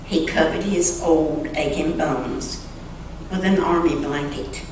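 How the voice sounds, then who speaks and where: A woman reads a story to a church in a solemn, dramatic style. She takes it very slowly, with deliberate pauses between phrases. The sound is heavily echoing, as in a big sanctuary, with a faint layer of noise. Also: deep voice, steady tone. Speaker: church member reading a short story aloud. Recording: echoey church hall, distant mic, faint noise.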